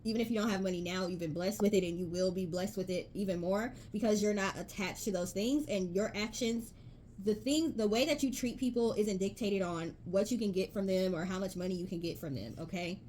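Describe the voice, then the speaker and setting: A woman's voice: medium pitch, brisk, mellow, a little hiss or hum. A woman giving a casual tarot reading to her viewers, chatty and emphatic, reading card messages aloud. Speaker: tarot reader.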